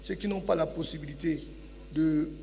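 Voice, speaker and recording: A man with a fairly high voice gives formal remarks on a conference panel. He hesitates often and fills his pauses with "euh". The recording has long hall reverb and a faint hum. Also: fairly even intonation, gravelly, mellow. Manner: hesitant, frequent "euh" fillers. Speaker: business federation president panelist.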